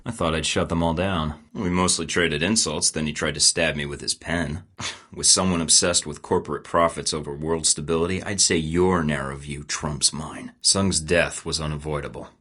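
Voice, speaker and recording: A young male secret agent in a studio video game, speaking in a bass-deep register. He stays dry, wry and unbothered, and tosses off sarcastic quips. The delivery is clean studio game dialogue. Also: a bit bright. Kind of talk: game voice lines.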